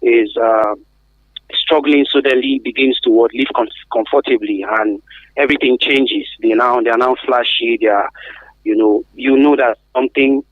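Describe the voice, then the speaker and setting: A phone-like, narrow sound carries a Nigerian man's voice at a medium pitch, very dry and free of room echo. He explains as a guest expert, stressing his points and posing questions that he answers himself. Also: steady tone, moderate pace. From Africa. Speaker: guest expert on survivors of trafficking. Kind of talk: radio interview, explanatory. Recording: thin, phone-like radio line.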